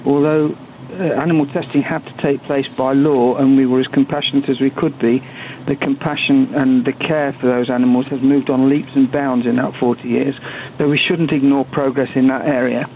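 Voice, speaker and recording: Croaky older guy calling into radio, earnest, bit hesitant, tinny phone line. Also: mid-range voice, little pitch movement, normal speed, slight background noise. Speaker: caller.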